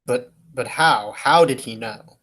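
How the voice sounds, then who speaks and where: A man plays an earnest police detective in an old-time radio drama. His medium-pitched voice is matter-of-fact and questioning, all business. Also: slow pace, fairly bright tone. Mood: intent, troubled. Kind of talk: 1940s radio play acting.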